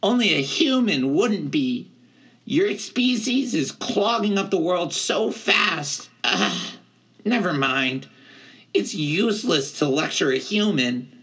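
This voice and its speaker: A man voicing a high-pitched, plaintive young satyr. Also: lively intonation, slow, fairly bright tone, slightly rough, full character voice. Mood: indignant. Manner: plaintive, lecturing, emphatic. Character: earnest, indignant young male satyr, gloomy about nature.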